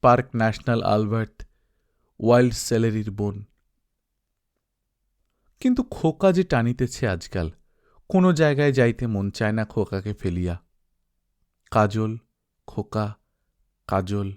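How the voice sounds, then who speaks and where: A man narrating a literary story as an audiobook, reading expressively and reflectively with dramatic pauses. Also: mid-range voice, darkish tone.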